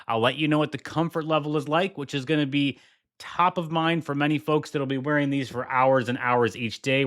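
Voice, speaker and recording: A man's voice: mid-range voice, fairly bright tone. A man narrating a headphone unboxing and demo in an upbeat, promotional manner. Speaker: product reviewer.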